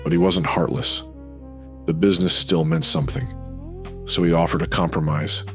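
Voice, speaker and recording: A man narrating a horror anthology in an ominous, measured pulp radio-drama style, in short dramatic phrases. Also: deep voice, level tone. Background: music.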